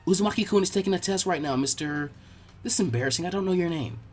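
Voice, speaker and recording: A man's voice: high-pitched, quick pace, soft background music, lightly acted. A man voicing an earnest, bookish young girl tutor who explains things kindly and teasingly in an audiobook-style story. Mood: flustered.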